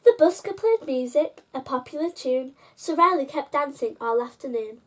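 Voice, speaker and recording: A woman's voice: high voice, lively intonation, normal speed. A woman storyteller reading a rhyming picture book expressively, in a sing-song manner, speaking directly to children.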